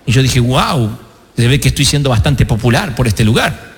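A man with an Argentine accent, a pastor preaching a sermon with self-mocking stories and slow, emphatic stresses on key words. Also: medium pitch, lively intonation, in a large hall. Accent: Argentine Spanish. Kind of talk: sermon with humorous anecdotes.

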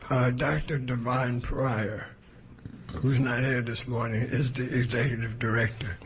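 A man with a hoarse voice delivers a speech from a podium microphone. He speaks in a measured, deliberate way, restarting phrases as he goes, at a middling pitch with faint noise underneath. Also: fairly even intonation. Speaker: guest speaker. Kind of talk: public speech at a gathering.

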